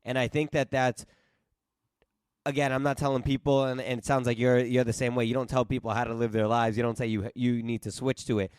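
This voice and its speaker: A man's voice: medium pitch, flat delivery, brisk, a little mellow, smooth, no room sound, studio quality. A man in his late twenties hosting a casual interview over a video call, eager and full of restarts and repeats. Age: late 20s. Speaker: podcast host. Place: East Coast home, remote video call.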